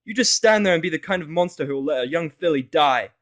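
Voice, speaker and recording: Brash, headstrong pony girl, bossy and righteous, high forceful delivery male actor, for fan audio drama. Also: animated, fast. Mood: furious. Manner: forceful, scolding, exclamatory. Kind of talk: fan fiction audio drama character acting.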